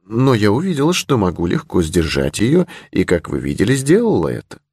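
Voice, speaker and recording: A man's voice: lowish voice, huge pitch swings, fairly quick, darkish tone, slightly rough, no room sound, clean studio sound, voice pushed up, heavily acted. A man voicing a brave, candid young creole lady in an adventure audiobook. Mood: slightly flustered. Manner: candid, charmingly simple, assured. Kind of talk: audiobook character in adventure novel.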